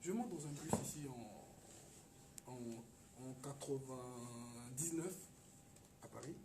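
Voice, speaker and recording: A man's voice: mid-range voice, slow. A man from the Ivory Coast, a guest in a casual chat, who speaks hesitantly, repeats words and stresses points now and then. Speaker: radio guest. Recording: echoey room, voice from far off, faint noise.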